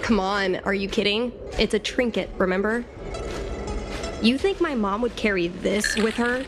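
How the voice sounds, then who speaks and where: A woman's voice: mid-range voice, moderate pace, background noise, close to an everyday voice. A woman voicing an easygoing, wistful young woman in casual, conversational video game dialogue. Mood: teasing. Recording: music playing behind the voice.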